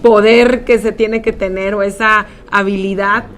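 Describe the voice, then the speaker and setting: A woman hosting an interview show in an upbeat, casual way, often filling pauses. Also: mid-range voice, fairly even intonation, fairly bright tone. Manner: upbeat, with frequent filler words. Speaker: podcast host. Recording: faint background noise.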